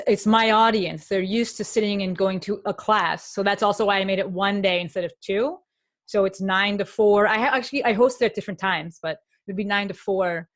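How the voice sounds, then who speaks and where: A woman co-host speaks over a video call in a dry, close sound with no room around her. She is agreeable and explains things plainly at a middle pitch. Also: dry recording. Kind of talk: casual live-stream chat.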